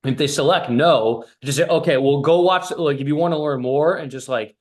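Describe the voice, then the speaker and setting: A man's voice: fairly high pitch, moderate pace, a little mellow. A man coaching on a casual call, blunt and profane, with an emphatic, stop-start delivery that often repeats itself. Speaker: business coach.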